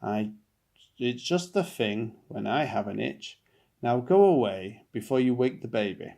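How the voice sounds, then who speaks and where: A frowning mother gorilla from a picture book, played by a man reading aloud. The manner is bossy and scolding, and the voice has a creaky edge. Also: medium pitch, lively intonation, unhurried, mellow. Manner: scolding, instructive.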